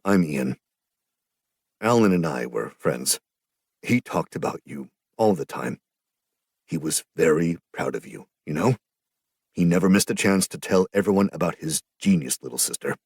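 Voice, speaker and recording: A man playing a gentle, deep-voiced superhero captain. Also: animated, moderate pace, gravelly, long pauses, breathy edge, no echo, clean studio sound, heavily acted. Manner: gentle and reassuring. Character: kind, awkward male superhero captain.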